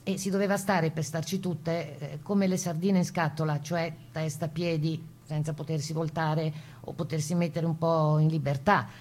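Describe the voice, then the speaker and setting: A woman playing a camp survivor who gives her grave testimony in a radio play, plainspoken and halting, in a conversational way. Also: deep voice, steady tone, darkish tone. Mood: grave, remembering. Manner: halting, conversational, with small fillers and tag questions. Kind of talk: dramatized first-person testimony in a radio play.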